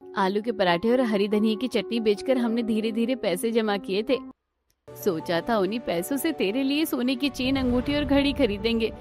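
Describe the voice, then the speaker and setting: A woman's voice: a little high, normal speed, lightly acted. A woman voicing a kindly, hardworking middle-aged mother-in-law in a cartoon, reassuring and plain-spoken. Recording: background music under the voice.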